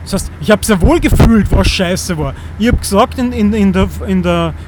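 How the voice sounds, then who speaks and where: A man's voice: sits high, moderate pace, very noisy. A man in his thirties with an Austrian accent, talking in an earnest, rambling monologue and often restarting as he searches for words. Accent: Austrian German. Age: 30s.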